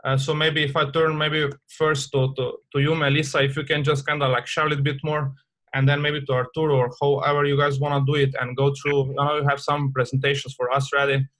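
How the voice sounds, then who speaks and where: Halting man hosting a call. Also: on the high side, flat delivery, a bit bright, poor audio. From Slovenia. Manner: hesitant, halting phrasing. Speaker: call host. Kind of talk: introducing guests on a conference call.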